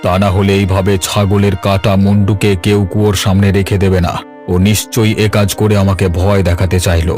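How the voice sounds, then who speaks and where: A man voices an embittered old patriarch in a cartoon story. The voice is on the low side and declamatory, proud and quick to take offence, with music running underneath. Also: little pitch movement, darkish tone, lightly acted. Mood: defiant, suspicious. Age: older. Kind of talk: Bengali cartoon voice acting.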